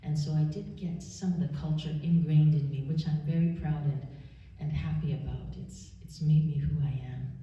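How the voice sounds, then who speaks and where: Low, dark-voiced woman in an echoey church. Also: steady tone. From Canada. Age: 40s. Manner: measured, earnest, with pauses. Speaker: church speaker. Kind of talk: personal testimony in church. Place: church sanctuary. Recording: roomy church, distant mic, dull sound.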